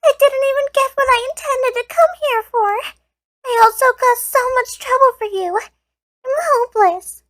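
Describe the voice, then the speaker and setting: Woman voicing sweet anime girl, extremely high pitch, self-blaming, apologetic, amateur audio drama. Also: moderate pace, fairly bright tone. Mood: dejected.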